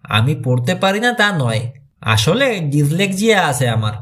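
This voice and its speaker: Man reading as an earnest, animated teenage cave boy. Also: on the high side, measured pace. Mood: confiding.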